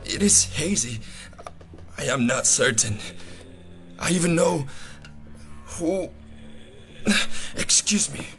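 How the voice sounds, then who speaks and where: A man's voice: on the high side, animated, slow pace, long pauses, fairly bright tone. A man playing an earnest, pleading Cuban villager with a Cuban accent and an urgent, polite manner in an audio drama. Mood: distressed. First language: Spanish. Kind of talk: immersive audio drama acting.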